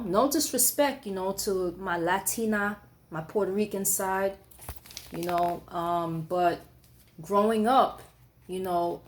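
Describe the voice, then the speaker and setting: A woman poet giving a thoughtful, conversational late-night monologue, often pausing with "you know" and "um", and reading her own writing aloud with extra force. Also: on the low side.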